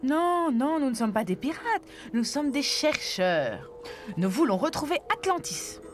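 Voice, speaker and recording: A man's voice: very high-pitched, big pitch swings, measured pace, full character voice. A man voicing an earnest, eager young boy adventurer in a dubbed cartoon. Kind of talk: dubbed low-budget cartoon dialogue. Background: music.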